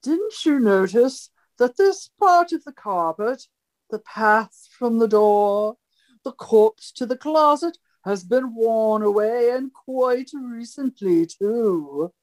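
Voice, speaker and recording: A woman's voice: on the high side, animated, slow pace. A woman playing an imperious, theatrical great detective with a pompous, declamatory delivery in an old-time radio mystery parody. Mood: confident, deductive.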